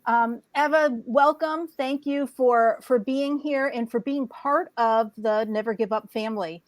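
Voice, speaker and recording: A woman's voice: a little high, measured pace, no echo. A woman hosting interviews with authors, asking upbeat follow-up questions. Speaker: interview host.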